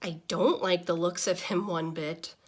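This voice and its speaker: A woman voicing a small, easily exasperated pet goldfish narrating a diary in an expressive, deliberate children's picture-book read-aloud. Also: fairly low pitch, voice pushed down. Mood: flat, bored.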